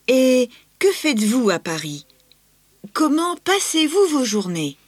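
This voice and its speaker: An actress voicing a polite, curious female interviewer who asks short, clear questions. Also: high-pitched, very animated, takes it slowly, no echo, heavily acted. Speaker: interviewer in a scripted listening-test dialogue. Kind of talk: scripted dialogue reading.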